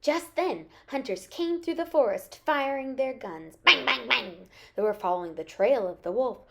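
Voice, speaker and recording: A woman storyteller with a high voice and big, swooping intonation. She reads expressively for young children, in the manner of a teacher. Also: lively intonation, normal speed, a little mellow. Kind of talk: animated children's story reading.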